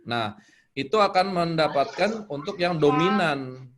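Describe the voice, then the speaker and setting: A man's voice: fairly high pitch, lively intonation, a little slow, a bit bright. A man talking casually in an online workshop, explaining informally as a storyteller giving tips. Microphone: video call. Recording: noisy online video call.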